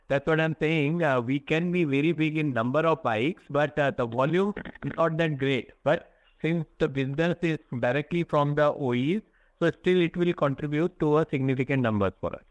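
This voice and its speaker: A man, a company executive explaining results to analysts in a halting, candid way. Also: medium pitch, no echo, poor audio. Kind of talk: earnings call answers.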